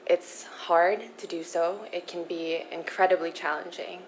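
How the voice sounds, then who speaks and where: Young pageant contestant, lowish voice. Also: female voice, fairly even intonation, a little hiss or hum, low-quality recording. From Philippines. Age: young adult. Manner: poised, earnest, measured. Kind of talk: answering interview questions.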